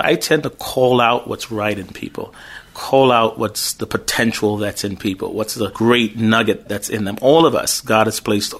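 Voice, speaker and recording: Jamaican man speaking with measured care. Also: lowish voice. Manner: measured, thinks through words, occasional stutter. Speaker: pastor and school owner. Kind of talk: interview guest.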